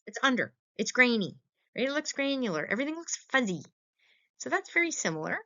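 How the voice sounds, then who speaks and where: A woman's voice: high voice, very animated, brisk, bright, forceful tone. Woman lecturing informally, dull lo-fi recording. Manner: conversational, checks in with "right?" and rhetorical questions. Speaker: lecturer teaching veterinary radiography.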